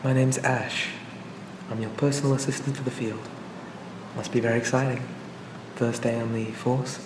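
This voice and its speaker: A calm and pleasant male AI companion acted by a man for an ASMR roleplay. He speaks gently and reassuringly in a narrow, even pitch. The recording sounds distant and echoey, with clear ambient noise behind him. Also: normal speed, natural voice. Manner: gentle, hesitant, reassuring, conversational. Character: charming, pleasant male AI personal assistant for a police officer.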